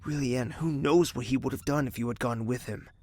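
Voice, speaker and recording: An actor playing a guarded, devoted young prince in an audio drama, husky and hushed, speaking with brooding earnestness. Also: male voice, mid-range voice, a little fast, dry recording, clean studio sound, close to an everyday voice. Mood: reassuring. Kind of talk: intimate audio drama acting with inner monologue.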